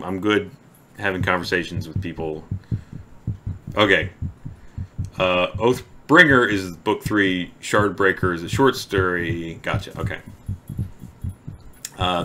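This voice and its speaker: A man talks to his stream from a home desk microphone, his low voice carrying a forceful, bright edge. He chats haltingly and trails off into murmurs, and reads verse at a slow, measured pace. Faint noise sits under the voice. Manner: halting, trails off into quiet mumbles. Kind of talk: casual chat with stream viewers; slow, deliberate poetry reading.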